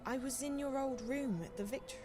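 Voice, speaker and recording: A woman playing an earnest, bewildered young game heroine who speaks haltingly as she searches for words. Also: sits high, natural voice. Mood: bewildered, explaining. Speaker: video game character. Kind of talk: video game cutscene acting. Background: background music.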